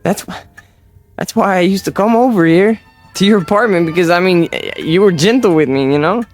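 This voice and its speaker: Man as insecure young man, fairly high voice, halting, emotional, radio drama, faint music behind. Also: natural voice. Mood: pleading, desperate. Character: needy, insecure young man hungry for approval. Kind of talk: vintage-style radio drama acting.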